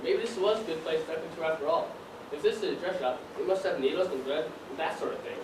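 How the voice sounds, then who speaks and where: A man's voice: a little high, animated, fast talker, recorded from a distance, lightly acted. A teenage male student acting as a dreamy, determined young factory worker in a stage play, with earnest, projected delivery. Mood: urgent. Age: teens. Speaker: student actor. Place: stage in a large room, distant mic. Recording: roomy, noisy stage recording from afar.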